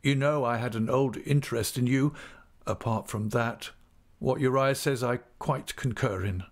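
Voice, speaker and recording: A man voicing a weary older businessman in an audiobook, speaking in a monotonous, forced way. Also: on the high side, a little slow, pitched above the performer's own voice. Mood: dull resignation. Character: weary, subdued older businessman, dominated by partner. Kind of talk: audiobook character acting.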